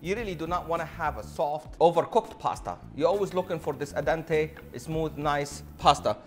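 A man's voice: fairly high pitch, normal speed, soft background music. A man in his thirties presenting a cooking demonstration as a chef, with an enthusiastic, showy manner. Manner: enthusiastic, showy, addresses audience as a showman. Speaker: chef hosting a cooking show. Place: indoor modern studio kitchen. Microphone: lapel mic.

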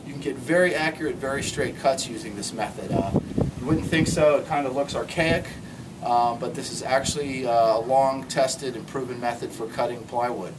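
A middle-aged man giving an unscripted do-it-yourself tutorial in a driveway, explaining in a relaxed way with many ums and uhs. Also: mid-range voice, moderate pace. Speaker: DIY instructor. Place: outdoor driveway in front of a garage. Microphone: camera mic from a few meters away. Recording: distant camera mic, audible background noise.

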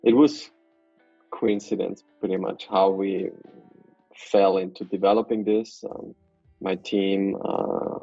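Deep husky man from Austria on a call. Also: slow, no echo, lo-fi sound, faint music behind. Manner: relaxed, hesitant, many fillers. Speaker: guest, software developer. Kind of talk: casual video call interview. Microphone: video call.

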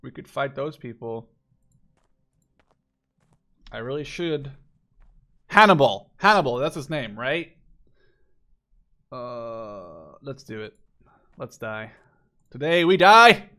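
A man's voice: mid-range voice, expressive, slow pace, pauses often, pushed and bright. A man in his twenties giving casual, unscripted gameplay commentary, rambling and swearing freely as he shares his opinions. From Canada. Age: 20s. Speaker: gaming video creator.

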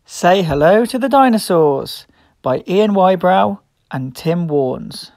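A woman reading a picture book aloud to young children as host, expressive, playful and encouraging. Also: lowish voice, slow, no room sound, studio quality. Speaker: children's storybook reader and host.

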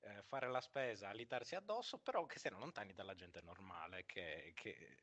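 A man's voice: lowish voice, expressive, normal speed, fairly bright tone. A man co-hosting a podcast in casual group chat, talking in a jokey, mock-serious way. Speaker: podcast co-host.

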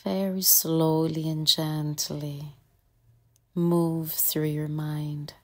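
A woman with a low voice leads a guided meditation at an extremely slow pace. Her delivery is calm and gentle, with little rise or fall in pitch. She speaks in short, soothing phrases and leaves long pauses between them.

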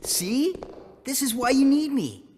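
A man playing a cocky, excitable and defensive teenage boy in a video game cutscene, boastful and boyish. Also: very high-pitched, measured pace, in a large hall, slight background noise. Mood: excited, cocky.

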